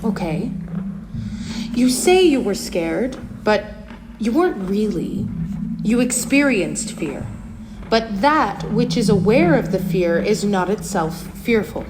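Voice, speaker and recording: An actress playing a serene, eerie young cultist daughter in an audio play, speaking in a calm, measured, coaxing way. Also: female voice, medium pitch, noisy background. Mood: calm, insistent. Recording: loud music behind. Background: loud music.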